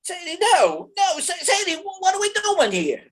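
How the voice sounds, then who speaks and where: A performer playing a giddy, flustered, bumbling gangster in old-time radio comedy, stammering and excitable. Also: extremely high pitch, moderate pace. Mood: flustered, alarmed.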